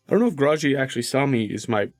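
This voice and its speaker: A man playing a tabletop game, casually and haltingly describing his character's actions as he pieces them together. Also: medium pitch, animated, no echo, soft background music.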